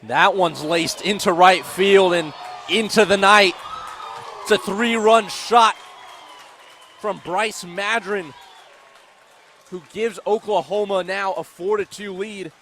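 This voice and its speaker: High-pitched man calling baseball over crowd noise. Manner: excitable on big plays, drawn-out calls. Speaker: radio baseball play-by-play announcer. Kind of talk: live sports commentary. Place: outdoor baseball stadium broadcast. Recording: loud crowd and stadium noise behind. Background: crowd, ballpark ambience.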